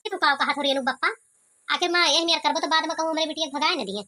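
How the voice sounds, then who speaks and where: A woman's voice: sits high, fast talker, sharp tone, slight background noise. A woman voicing a protective, anguished village mother in an Awadhi cartoon, with an Awadhi accent and a plaintive, scolding manner. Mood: worried. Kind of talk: cartoon comedy voice acting.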